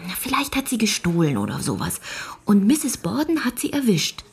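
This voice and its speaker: A woman's voice: mid-range voice, wildly swooping pitch, moderate pace, darkish tone, husky, exaggerated voice. An actress playing a curious, stubborn, loyal young girl in a radio drama, questioning and arguing in an earnest way. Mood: argumentative.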